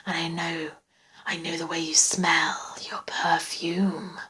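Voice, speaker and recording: Woman voicing a slow, whispering male stalker. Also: medium pitch. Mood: obsessive, intimate. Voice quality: soft breathy whisper. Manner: slow, commanding yet gentle, intimate. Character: menacing, obsessive male stalker, a shadowy whispering figure. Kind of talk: suspense audiobook character.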